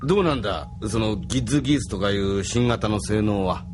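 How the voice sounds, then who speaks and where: An actor playing a calm male resistance leader weighing the enemy's strength. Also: lowish voice, noisy background, close to an everyday voice. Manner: calm, measured.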